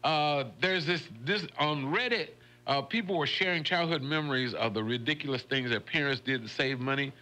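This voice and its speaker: A man's voice: a little high, normal speed, hardly any pauses, bright, forceful tone. A man hosting a morning radio show, chatting in a folksy way and laughing often. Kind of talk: casual radio chat. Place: radio studio. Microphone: studio microphone.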